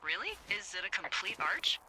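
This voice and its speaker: A woman's voice: mid-range voice, sing-song, normal speed, background noise. A woman playing a wry, teasing American fire lookout in a naturalistic video game, chatty and sardonic, heard over a two-way radio. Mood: helpful, easygoing.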